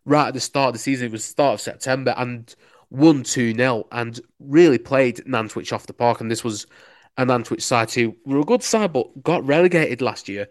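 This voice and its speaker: A man's voice: mid-range voice, moderate pace. A young man with a Lancashire accent, a local radio sports reporter chatting enthusiastically in an interview, full of "you know"s. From Lancashire, England. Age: young adult. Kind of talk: casual interview answers.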